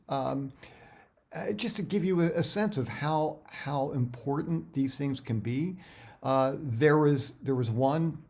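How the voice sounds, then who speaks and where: A middle-aged man giving career advice in a casual, conversational way, halting and often repeating words as he talks directly to viewers. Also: mid-range voice, darkish tone.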